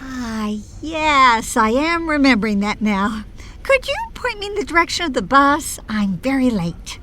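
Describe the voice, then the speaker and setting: A woman's voice: sits high, unhurried, slight background noise, heavily acted. A woman playing a middle-aged mom on a cruise, playful and wry, in an audio drama comedy. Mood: flustered. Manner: wry, expressive delivery.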